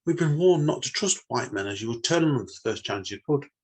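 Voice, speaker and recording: A man's voice: mid-range voice, lively intonation, fairly quick, a little mellow, husky, pitched above the performer's own voice. A man voicing a little green alien, polite, stranded and pleading, in a storytelling podcast. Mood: pleading, earnest.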